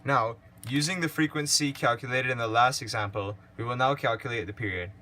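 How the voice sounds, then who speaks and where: A teenage boy slowly explaining worked physics calculations step by step for a school project. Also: mid-range voice, pushed and bright, slight background noise. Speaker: student presenter. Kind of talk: read-aloud worked calculations.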